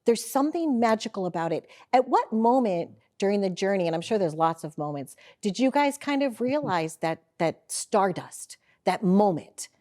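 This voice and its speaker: Gushing woman talk-show host, mellow voice. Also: mid-range voice, sing-song, moderate pace, few pauses. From St. Louis, Missouri. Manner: gushing, effusive. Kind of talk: casual interview.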